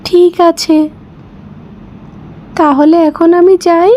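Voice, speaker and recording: A woman's voice: very high-pitched, fairly even intonation, slow pace, warm tone, slight background noise. A woman voicing a big-hearted, reassuring fairy in a children's cartoon. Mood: kindly reassuring.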